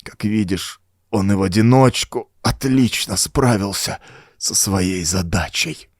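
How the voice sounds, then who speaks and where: A man voicing a dying, tortured cult renegade in an audiobook, weak and halting. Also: fairly low pitch, no room sound, clean studio sound. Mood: weak, grim. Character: dying, tortured male cultist renegade confessing. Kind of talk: audiobook character acting.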